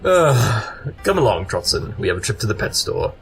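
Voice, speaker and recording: A man voicing a clever, haughty pony detective with a soft side, pompous and precise, in a cartoon audio drama. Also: lowish voice, sing-song, moderate pace, a little hiss or hum. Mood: softened.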